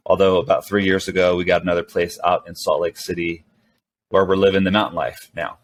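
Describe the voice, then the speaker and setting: A man's voice: low-pitched, a little fast. A middle-aged man, a startup co-founder and CEO whose first language is Spanish, talking as a guest in a casual interview with plenty of fillers and restarts. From Venezuela, raised mostly in California. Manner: relaxed, rambling with many fillers and restarts.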